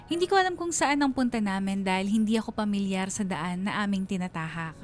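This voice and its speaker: Woman as wistful young narrator, medium pitch. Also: brisk, soft background music, close to an everyday voice. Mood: reflective, resigned. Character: wistful young woman letter writer narrating her story. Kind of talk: confessional radio drama narration.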